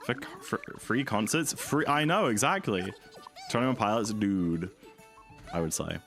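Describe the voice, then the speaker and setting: Young man, deep voice, lively swoopy delivery, rambling streamer chat, music in the background. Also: unhurried. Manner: rambling, easygoing, full of "like". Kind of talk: casual chat while gaming, answering chat.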